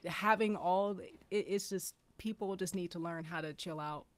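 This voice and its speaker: A woman's voice: on the low side, moderate pace. A woman explaining her views colloquially in a casual panel discussion.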